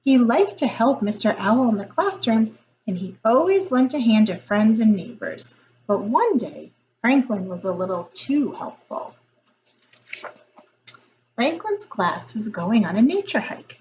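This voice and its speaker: Lady reading a kids picture book, deliberate and expressive, lively pitch, bit of noise behind her. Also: poor audio. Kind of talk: expressive children's story read-aloud.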